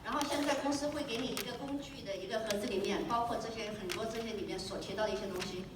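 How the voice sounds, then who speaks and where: A woman interpreting a stage talk consecutively, restating points quickly with fillers. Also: medium pitch. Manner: quick, with fillers, restating in her own words. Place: large convention hall. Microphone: handheld mic, recorded from far in the audience. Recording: echoey hall taped from far off, noisy.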